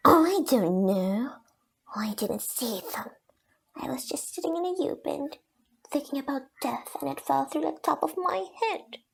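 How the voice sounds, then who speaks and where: A woman's voice: high voice, expressive, unhurried, gravelly, full character voice. A woman playing the sulky, self-pitying ghost of a teenage girl in an audio drama, whiny, accusing and melodramatic. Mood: wounded, accusing.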